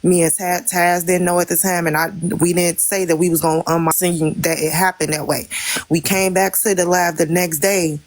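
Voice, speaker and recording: A woman's voice: lowish voice, flat delivery, normal speed. A woman guest on a live stream, recounting events in an emphatic, storytelling way.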